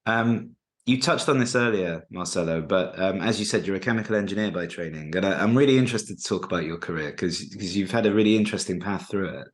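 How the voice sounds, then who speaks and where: A man with a low voice hosts a business interview. He affirms his guest often and asks open, curious questions. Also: quick pace. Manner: agreeable, affirming, frequent backchannel. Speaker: interview host.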